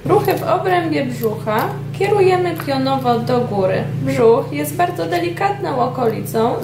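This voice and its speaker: A woman's voice: high voice, moderate pace, vocal fry. A woman instructor narrating a hands-on treatment demonstration step by step. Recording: distant, echoey room with loud steady noise.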